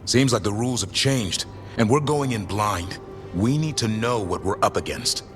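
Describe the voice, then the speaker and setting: A wary warrior scout for a fantasy game. His pitch is fairly low, his phrasing clipped and plain, dutiful in tone, with soft music behind. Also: lively intonation, moderate pace. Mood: wary, concerned.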